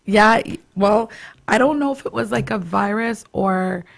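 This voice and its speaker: Hoarse, raspy-voiced woman radio host. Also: mid-range voice. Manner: slow, halting, laughs often. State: hoarse from a cough. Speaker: radio show host, spiritual doula. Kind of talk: casual radio chat.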